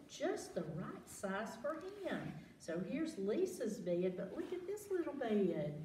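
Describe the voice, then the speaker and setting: A woman reading a picture book aloud to children, expressive, pausing to ask questions and exclaim. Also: mid-range voice. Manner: animated, asks listeners questions, exclaims. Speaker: library storytime reader. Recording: roomy, distant mic, faint background noise.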